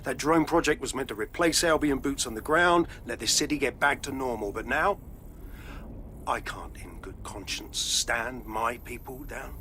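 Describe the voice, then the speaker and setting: An actor playing a smooth, authoritarian male corporate chief, measured and self-righteous. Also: mid-range voice, bright, forceful tone, a little hiss or hum, lightly acted.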